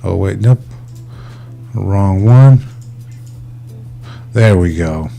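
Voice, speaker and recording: Laid-back male livestreamer with a mellow voice. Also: low voice, very slow, creaky, soft background music. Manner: informal, laid-back, addresses viewers as buddies. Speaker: livestream host. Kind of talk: casual livestream chat with viewers.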